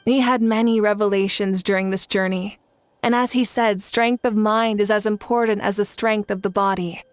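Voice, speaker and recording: A woman's voice: mid-range voice, steady tone, normal speed, no echo, faint music behind, natural voice. A woman playing a confident, benevolent warrior leader in an anime game, composed and encouraging. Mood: calm, admiring.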